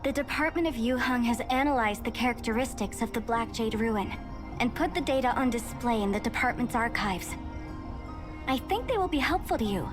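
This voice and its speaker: A woman's voice: on the high side, lively intonation, fairly quick, lightly acted. A woman playing an earnest, dutiful young researcher in a video game, formal and polite as she explains things. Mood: grave, earnest. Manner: formal, expository, apologetic. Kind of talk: scripted video game dialogue. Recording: music behind the voice.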